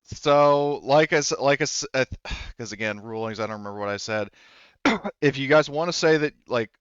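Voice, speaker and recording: Male game master, smooth clean voice, loose rambling delivery, dry and slightly dull recording. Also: mid-range voice, animated, moderate pace, no echo. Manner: rambling, hesitant, self-correcting. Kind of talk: casual tabletop game talk.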